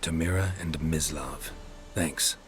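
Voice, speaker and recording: A man playing a gruff, dry-witted monster hunter for hire in a video game, terse and deadpan. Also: rumbling low, slow, a little hiss or hum. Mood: matter-of-fact. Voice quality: gravelly. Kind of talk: understated video game acting.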